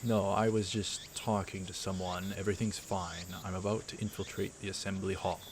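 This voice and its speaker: Man playing a young male secret agent, deep voice, conspiratorial, grandiose, visual novel, noisy recording. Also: flat delivery, normal speed, natural voice.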